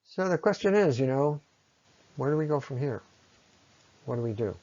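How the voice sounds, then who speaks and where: A man with a mid-pitched voice delivers a persuasive public talk on a slightly muffled, lo-fi recording. He sounds earnest and deliberate, leaning on key phrases for emphasis as a presenter making a case. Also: animated, dark tone. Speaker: speaker presenting against a road project.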